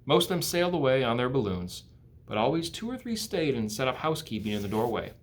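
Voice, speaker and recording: A man's voice: medium pitch, sing-song, quick pace. A man with an American accent, an educator, narrating a storybook aloud for children. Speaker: school educator reading aloud.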